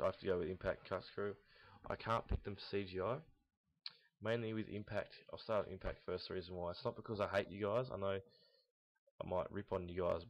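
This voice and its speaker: A young man with a deep voice talks through casual gaming commentary in a flat, barely varying tone. He rambles and halts, speaking bluntly and off the cuff. The recording is dull and low in fidelity. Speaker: host of a gaming team audio log. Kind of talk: casual unscripted commentary on esports team predictions. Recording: dull lo-fi, roomy echo, mouse clicks.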